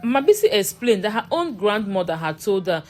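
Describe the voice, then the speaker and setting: A woman's voice: medium pitch, very animated, moderate pace. A woman narrating a scripted horror story in a dramatic, suspenseful manner.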